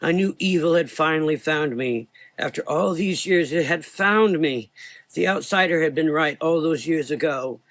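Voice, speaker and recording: A man voicing a frightened, guilt-ridden blind old doctor in a gothic audio drama, anguished and halting as he talks. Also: a little high, fairly bright tone, dry recording. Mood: haunted, fearful.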